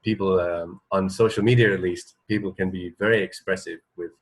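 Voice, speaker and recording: A man's voice: deep voice, steady tone, a little fast, breathy edge. A man co-hosting a radio show in casual chat, talking in a hesitant, searching way with repeated words and little check-in questions. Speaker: radio co-host.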